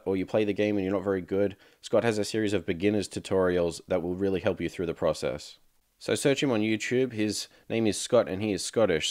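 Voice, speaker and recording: A man's voice: fairly low pitch, darkish tone, no echo, clean studio sound. A man hosting a casual podcast outro with wry, joking asides. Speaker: podcast host and creator.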